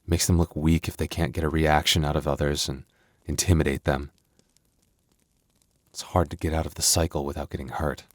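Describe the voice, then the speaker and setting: A man's voice: very deep voice, flat delivery, a little fast, no room sound. A man playing a young werewolf boyfriend, tender but protective with a tough edge, in an intimate ASMR roleplay. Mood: troubled, confiding.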